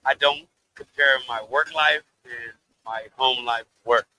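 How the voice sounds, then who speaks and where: A man with a deep voice, a rapper guest talking loosely in a casual interview, laughing and swearing freely. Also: steady tone, a little slow, bright, forceful tone, low-quality recording.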